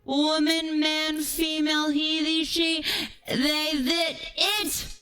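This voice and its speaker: A woman with a fairly high voice speaks very slowly, stretching words out for dramatic, teasing effect. She addresses her stream like a mock-serious monologue, sometimes slipping into breathy whispers, with music playing behind her. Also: flat delivery, sharp tone. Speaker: livestreamer. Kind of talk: theatrical tongue-in-cheek monologue to livestream viewers.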